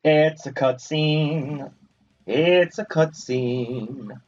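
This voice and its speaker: A man's voice: a little high, very slow, a little mellow. Man giving gameplay commentary, muffled and distant. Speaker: gaming video host. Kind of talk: casual playthrough commentary. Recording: muffled, distant mic over game music. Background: game music.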